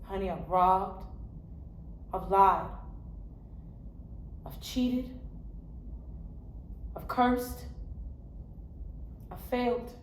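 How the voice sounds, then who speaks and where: A woman acting a dramatic stage monologue as a weary, confessional angel who was once a poor woman, speaking slowly and with deep feeling. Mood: sorrowful confession. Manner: slow, heartfelt, deliberate delivery. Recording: roomy echo, faint background noise.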